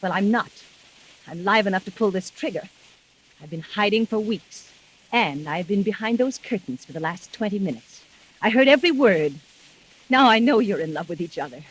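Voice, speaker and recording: An actress playing a jealous, vengeful wife driven to madness in melodramatic 1940s radio mystery style. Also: female voice, medium pitch, expressive, moderate pace, breathy edge. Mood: cold menace. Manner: melodramatic, menacing. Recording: old muffled 1940s radio recording.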